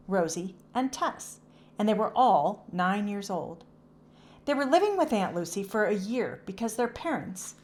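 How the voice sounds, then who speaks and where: A woman reads a children's storybook aloud. Her pitch moves up and down in a lively way as she narrates, in a medium register.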